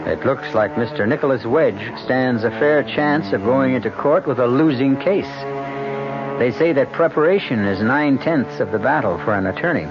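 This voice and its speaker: Old time radio mystery host guy, dramatic measured narration, muffled with music behind. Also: mid-range voice. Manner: theatrical, measured.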